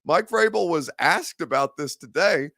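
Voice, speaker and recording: Hoarse, gravelly man hosting sports talk. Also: fairly high pitch, lively intonation, normal speed, bright, forceful tone, no room sound, studio quality. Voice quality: gravelly, growly. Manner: rambling and conversational, restarts phrases, reads viewer comments aloud. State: hoarse, worn down from a head cold. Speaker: sports radio and live-stream host. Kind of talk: casual live-stream sports talk.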